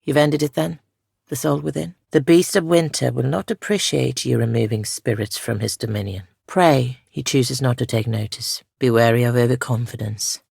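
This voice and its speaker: A woman playing a solemn, ancient female spirit guardian in a fantasy game, speaking softly and formally with measured gravity. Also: very deep voice, dry recording, clean studio sound, heavily acted. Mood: grave, foreboding. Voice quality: soft, gravelly. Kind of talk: scripted fantasy game dialogue.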